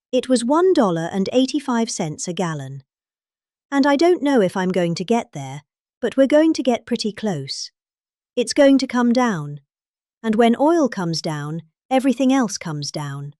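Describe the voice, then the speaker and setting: Woman acting pompous British lady, swooping pitch. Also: big pitch swings, a little mellow, dry recording, studio quality. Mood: pompous, pleased. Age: middle-aged. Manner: pompous, exaggerated emphases and pauses. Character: pompous, indignant middle-aged British lady giving a rambling speech. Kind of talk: dramatic comic reading of a political speech.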